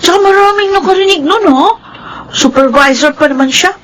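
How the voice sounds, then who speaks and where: A woman's voice: extremely high pitch, brisk, a bit bright, a little hiss or hum. A woman playing an outspoken, loyal, indignant young friend in a Filipino radio drama.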